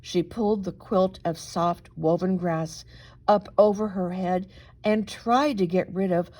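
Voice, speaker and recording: A woman reading a children's story aloud as an expressive narrator, with dramatic, deliberate emphasis. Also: on the low side. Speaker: storybook reader, reverend.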